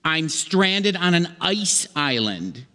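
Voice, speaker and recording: Man acting a high-pitched, excitable stranded fisherman. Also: slow pace, exaggerated voice. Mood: flustered. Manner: stammering, dramatic, repeats himself. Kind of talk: old-time radio comedy sketch acting. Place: theatre stage. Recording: echoey theatre hall with long reverb.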